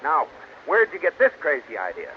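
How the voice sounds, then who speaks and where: A man's voice: fairly high pitch, wildly swooping pitch, moderate pace. A man playing an exasperated, sarcastic husband anxious to impress, in an old-time radio comedy. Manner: exasperated, hesitant politeness turning to sharp scolding. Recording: muffled old radio recording, faint noise.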